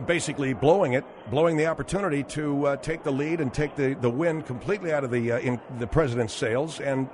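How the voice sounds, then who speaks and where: A man hosting a call-in radio talk show, questioning guests briskly and pointedly. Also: a little high, noisy background.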